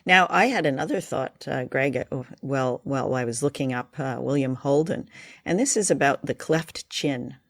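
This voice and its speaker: A woman's voice: very deep voice, moderate pace, fairly bright tone, no room sound. A woman hosting a radio show and podcast in a conversational style.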